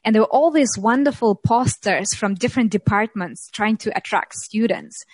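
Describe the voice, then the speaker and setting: A woman, telling her story as an interview guest, thoughtful and pausing often. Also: medium pitch, animated, slightly rough, no room sound. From Russia. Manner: thoughtful, many pauses and ums, light laughs. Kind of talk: reflective interview talk.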